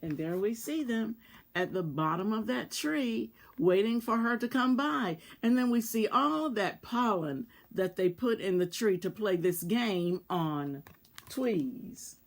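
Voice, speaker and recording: A woman reading a children's storybook aloud as an expressive narrator. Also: mid-range voice, slow. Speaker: storybook reader.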